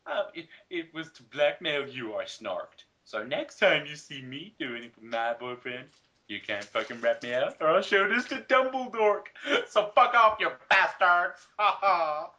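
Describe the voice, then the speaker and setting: A young man with a fairly high, bright voice reads over-dramatically, slow and emphatic, with big swings in pitch. The sound is dull and lo-fi. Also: expressive. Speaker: player in a comedic dramatic reading game. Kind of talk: over-dramatic read-aloud with outbursts. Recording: dull lo-fi mic, faint noise and clicks.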